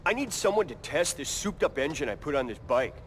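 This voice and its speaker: A man's voice: a little high, sing-song, fast, slight background noise. An actor playing a brash, informal bike-shop guy in a video game cutscene. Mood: wheeling, casual.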